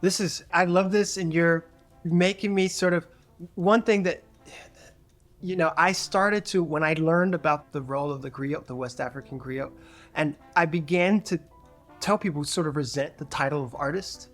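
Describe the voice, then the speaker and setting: A podcast host speaking reflectively in an interview, pausing and restarting often. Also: mid-range voice, soft background music. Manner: reflective, hesitant, many fillers and restarts. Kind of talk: conversational interview.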